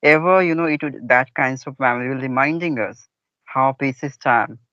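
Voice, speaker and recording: A man's voice: medium pitch, normal speed, pushed and bright, clear, resonant tone, no echo, muffled recording. A man, speaking politely and formally in a conversation practice chat and addressing his partner as ma'am.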